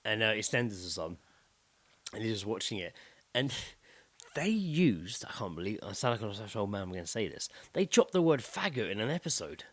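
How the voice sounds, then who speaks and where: A man's voice: lowish voice, a little fast, no room sound. Man chatting casually, dry close sound. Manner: sweary, animated retelling with acted-out quotes. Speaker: podcast co-host. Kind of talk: casual banter and anecdotes.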